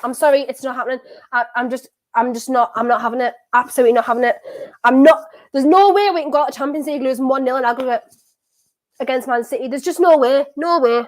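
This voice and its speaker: A woman with a softened County Durham accent hosts a live football watchalong. Her voice sits a little high and she talks in a nervous, excitable ramble, often circling back to the same words. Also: fast talker. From North East England. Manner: anxious, excitable, rambling and self-repeating. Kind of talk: live match reaction chat.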